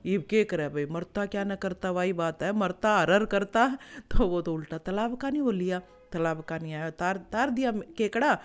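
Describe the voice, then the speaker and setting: A woman's voice: medium pitch, moderate pace, hardly any pauses, soft background music. Folksy woman storyteller with a Haryanvi accent. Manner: conversational, repeats phrases for effect. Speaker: storyteller, show host. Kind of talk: folksy oral storytelling of animal fables.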